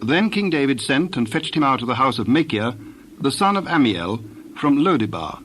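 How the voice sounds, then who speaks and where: A man narrating scripture from a script in a measured, formal way. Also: medium pitch, sing-song, a bit bright. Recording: clear background noise with birdsong.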